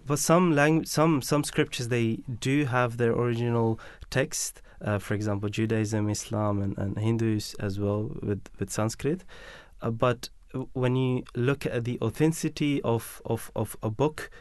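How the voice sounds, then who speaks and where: A man's voice: medium pitch, fairly even intonation, unhurried, few pauses, darkish tone, clear, resonant tone, dry recording. A man whose mother tongue is Bangla explains his points at length in a casual radio discussion, with many fillers and restarts.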